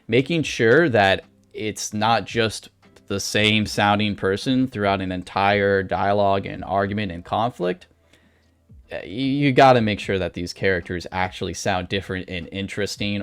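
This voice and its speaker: Low-pitched man, casual advice talk. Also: smooth. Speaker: author giving writing advice. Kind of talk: casual instructional vlog talk.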